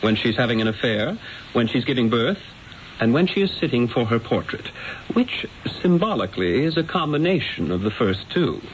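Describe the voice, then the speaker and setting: An actor playing a proud, witty master painter in a radio drama, speaking in a theatrical, haughty and eloquent manner. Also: male voice, mid-range voice, very animated, normal speed, slight background noise, close to an everyday voice. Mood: imperious. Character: proud, witty, grandiose male master painter narrating his story. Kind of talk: radio drama acting with first-person narration. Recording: dull vintage radio broadcast with music.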